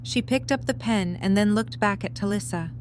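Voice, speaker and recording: A woman's voice: medium pitch, normal speed. A woman narrating a scripted story as the narrator of a fan audio drama. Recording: light noise and hum.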